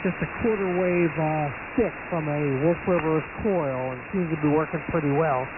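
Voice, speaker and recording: A male amateur radio operator chatting casually and haltingly over a radio transmission. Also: fairly high pitch. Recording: tinny, very noisy shortwave radio with hiss.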